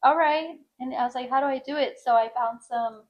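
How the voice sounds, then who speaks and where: A woman in her thirties with a naturally high-pitched voice, chatting as an interview guest about modeling and often laughing. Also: brisk, highs cut off. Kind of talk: casual conversational interview.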